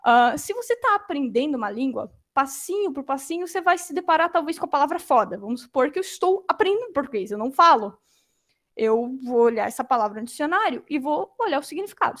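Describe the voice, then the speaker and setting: A young adult woman giving an informal lecture, animated and acting out quoted reactions. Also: sits high.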